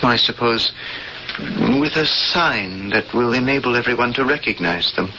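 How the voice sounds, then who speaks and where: A man's voice: medium pitch, expressive, moderate pace, bright, forceful tone, highs cut off. An actor playing an earnest, resigned male alien prisoner in a 1970s radio drama, on an old hissy recording.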